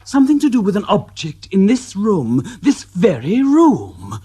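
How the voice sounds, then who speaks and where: A slow, breathy clairvoyant. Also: male voice, high-pitched, huge pitch swings, mellow, heavily acted. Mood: mysterious. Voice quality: breathy, slow, dramatic pauses. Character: male clairvoyant, hushed and mysterious, self-assured. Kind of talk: radio drama acting.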